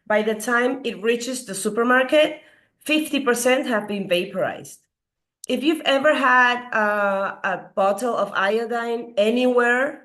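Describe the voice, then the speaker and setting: A middle-aged woman from Peru gives an earnest health lecture as a doctor. Her voice is slightly hoarse from a recent cold, and she asks her audience questions with frequent fillers. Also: medium pitch, fairly bright tone. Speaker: doctor giving a health talk. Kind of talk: explanatory lecture.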